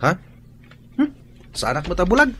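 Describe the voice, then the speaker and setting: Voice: medium pitch, sing-song, fairly quick, pushed and bright, slight background noise. An actor playing a demanding, mocking student son in an Ilocano radio drama.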